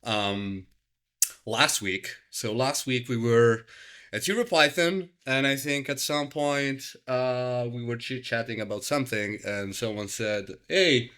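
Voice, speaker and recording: A man's voice: mid-range voice, unhurried, smooth. Podcast host, male, thirties, Italian accent, loose and chatty, heavy on fillers. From Italy. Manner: relaxed, rambling with many fillers and restarts. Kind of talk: casual chat.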